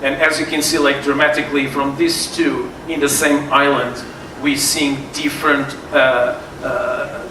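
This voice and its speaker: A man's voice: medium pitch, flat delivery. Portuguese-accented man lecturing in an echoey hall. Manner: halting, many fillers and restarts. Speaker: sommelier giving a wine seminar. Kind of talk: live seminar presentation. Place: event hall with tasting tables. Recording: echoey room, far from mic, noisy.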